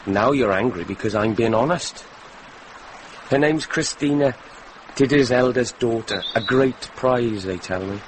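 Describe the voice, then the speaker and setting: A man's voice: medium pitch, measured pace, lightly acted. An actor playing a frank young man in a radio drama, candid and offhand in his talk. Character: frank young betrothed man, unhurried about marriage. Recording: noisy vintage radio drama recording.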